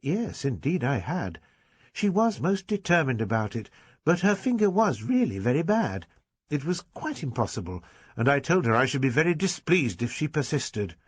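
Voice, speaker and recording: A man reading aloud and voicing an elderly rector who is gentle, fussy, slow and measured. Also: on the high side, big pitch swings, husky, no echo, pitched above the performer's own voice. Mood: earnest.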